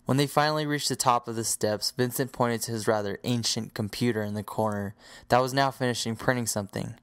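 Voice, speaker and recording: A man's voice: medium pitch, fairly even intonation, a little fast, fairly bright tone, smooth. A man reading scripted story narration as the narrator of an audio drama.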